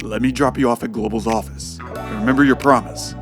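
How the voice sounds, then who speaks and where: A man's voice: medium pitch, fairly even intonation, fairly quick, faint music behind, close to an everyday voice. A man playing a flirtatious, possessive new husband in an audio romance drama, with a teasing manner.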